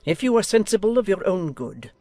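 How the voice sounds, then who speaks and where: A woman's voice: mid-range voice, sing-song, a little fast, warm tone, no echo. Imperious older noblewoman acted by a woman, indignant and declamatory; dry period audiobook sound with music underneath. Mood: haughty, indignant. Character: haughty, domineering older aristocratic lady, indignant and imperious. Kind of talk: dramatized audiobook acting.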